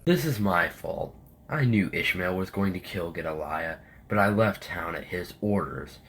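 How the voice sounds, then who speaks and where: A man's voice: low-pitched, expressive, moderate pace, a bit bright, slight background noise. A man acting a stern, resolute military captain burdened by guilt, with a commanding manner, in an amateur audio drama. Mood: remorseful, resolute.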